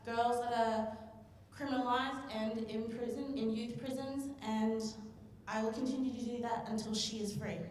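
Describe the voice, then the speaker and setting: A woman's voice: on the high side, fairly even intonation, normal speed, heavy background noise. Woman, hesitant speaker, distant in a very echoey hall. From Australia. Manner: hesitant, many fillers. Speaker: youth programs manager. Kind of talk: public speech.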